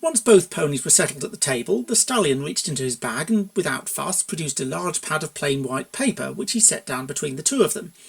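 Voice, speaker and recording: A narrator reading a scripted story aloud in an expressive style. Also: medium pitch, fairly quick, creaky, few pauses. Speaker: narrator, fan fiction reader.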